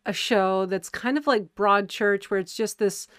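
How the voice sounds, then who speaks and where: A woman's voice: medium pitch, measured pace, fairly bright tone, clear, resonant tone, no echo, clean studio sound. A woman interviewer who also speaks Gaelic, chatting enthusiastically and laughing easily. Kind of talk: casual interview chat.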